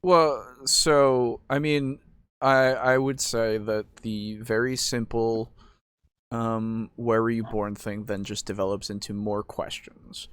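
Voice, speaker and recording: A man's voice: mid-range voice, level tone, takes it slowly, a bit bright, smooth, no room sound, clean studio sound. A man co-hosting a casual discussion about tabletop games, talking hesitantly as he thinks aloud. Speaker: podcast co-host.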